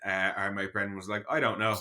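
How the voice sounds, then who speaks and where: A man's voice: on the low side, steady tone, fast, pushed and bright. An Irish man co-hosting a podcast, telling stories in casual chat and swearing freely. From Ireland. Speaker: podcast co-host.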